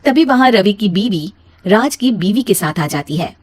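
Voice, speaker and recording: A woman's voice: medium pitch, lively intonation, normal speed. A woman storyteller giving a scripted narration of an emotional moral story.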